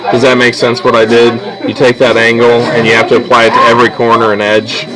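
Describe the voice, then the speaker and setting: A man's voice: mid-range voice, monotone, fast, a loud crowd, rough recording. A man teaching a drawing lesson step by step in a casual way, often checking that his listeners follow. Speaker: art teacher.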